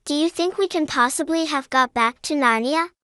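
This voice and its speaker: A woman plays a young schoolgirl in a storybook audio drama, eager and easily alarmed. The voice is high and earnest, full of small exclamations. It is recorded close and dry. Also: moderate pace, a bit bright, dry recording. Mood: excited wonder.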